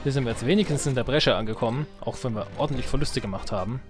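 Guy doing casual let's play commentary, slangy, talks fast, game music in the back. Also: mid-range voice. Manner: colloquial, slangy, thinking aloud. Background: strategy game music and game sounds.

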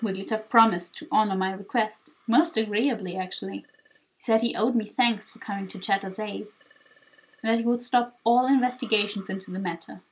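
A woman voicing a gentle, solemn sea creature who guards secrets in a video game, speaking formally and gravely. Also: mid-range voice, fairly even intonation, normal speed, pitched below the performer's own voice, lightly acted, low-quality recording. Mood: calm, formal.

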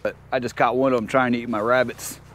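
A man's voice: medium pitch, flat delivery, quick pace, fairly bright tone, slight background noise. A man chatting casually and informally as a homestead vlogger, using folksy slang, recorded outdoors on a handheld camera. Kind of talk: casual vlog chat, talking to viewers and animals. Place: outdoors in a backyard chicken run.

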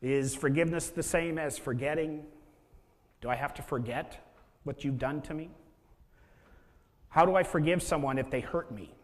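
A man's voice: a little high, moderate pace, pauses often. A man in his thirties preaching a sermon as pastor, chatty, with rhetorical questions and acted-out inner thoughts. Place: church sanctuary with a stage. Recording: long reverb of a church hall.

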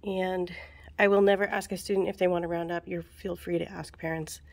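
A woman casually walking through book fair checkout steps at a school register, chatty, with ums and quick asides, recorded on a handheld phone. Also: lowish voice, level tone. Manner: chatty, with frequent ums, asides and self-corrections. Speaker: book fair volunteer trainer. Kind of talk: casual how-to walkthrough. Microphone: phone camera held over the register.

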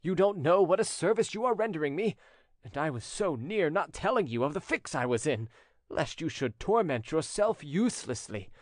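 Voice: medium pitch, very animated, moderate pace, no echo, studio quality, pitched above the performer's own voice. A narrator voicing a proud, scheming male French notary who feigns innocence, in an audiobook story. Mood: feigned innocence.

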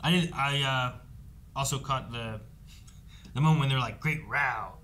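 A man's voice: mid-range voice, moderate pace, fairly bright tone. A man in his thirties with an American accent, chatting as a show host in loose, hesitant phrases. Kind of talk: casual discussion. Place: indoor studio with set shelves.

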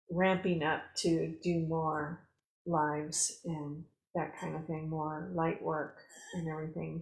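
A woman co-hosting a relaxed spiritual chat, taking her time over every phrase. Her voice rings in a roomy space, and a faint noise sits under the recording. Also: deep voice, gravelly. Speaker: co-host. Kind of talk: casual chat.

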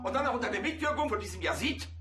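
An actor playing a flustered, officious police chief with a pompous manner in a TV crime comedy. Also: male voice, very high voice, animated, very fast, over music. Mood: indignant. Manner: pompous, stammering when thrown.

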